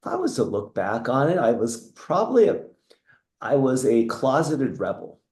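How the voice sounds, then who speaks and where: A man's voice: mid-range voice, very animated, moderate pace, reverberant. A middle-aged man from the United States, a guest talking casually and reflectively in an interview. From United States (Seattle; born in Taiwan). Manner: reflective, rambling with restarts and soft laughs. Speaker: guest, entrepreneur.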